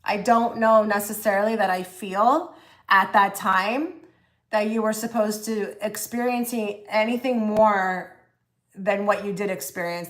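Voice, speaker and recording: A woman's voice: medium pitch, fairly bright tone. A woman hosting a casual tarot-reading livestream, talking chattily with many fillers and restarts while she reads cards and answers the chat. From half Egyptian. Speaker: tarot reader livestream host.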